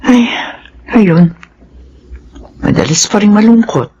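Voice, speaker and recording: A woman's voice: medium pitch, expressive, slow pace, warm tone, breathy edge, dull audio. A Filipino actress playing a worried elderly mother and grandmother in a radio drama. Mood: concerned.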